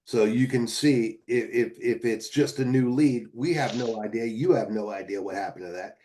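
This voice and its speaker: A man giving sales advice on a training call in a measured, explanatory way. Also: mid-range voice, mellow, slight background noise.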